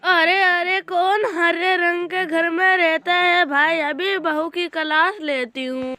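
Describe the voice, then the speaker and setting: A woman plays a fussy cartoon bird mother-in-law who nags and scolds. Her voice is very high and piercing, and she draws her words out slowly in exasperation. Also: steady tone, sharp tone, no room sound, exaggerated voice. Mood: indignant. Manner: complaining, nagging. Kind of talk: exaggerated cartoon dialogue.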